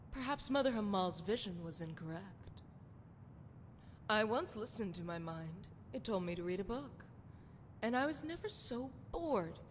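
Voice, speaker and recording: A woman playing a seductive, flirtatious priestess of a love goddess in a video game, with sultry, poetic, theatrical phrasing. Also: natural voice. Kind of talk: scripted video game character dialogue. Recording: long hall reverb, noisy rumbling background.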